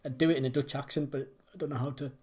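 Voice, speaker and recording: A man's voice: mid-range voice, fairly even intonation, rapid-fire, darkish tone, slightly rough. A man hosting a quiz, reading out questions and chatting casually between them. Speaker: quiz host.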